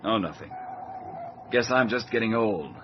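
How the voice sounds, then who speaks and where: A man's voice: on the low side, lively intonation, unhurried. An actor playing a weary, reflective veteran military captain in a radio drama, musing and questioning as he talks. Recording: muffled noisy radio-drama recording.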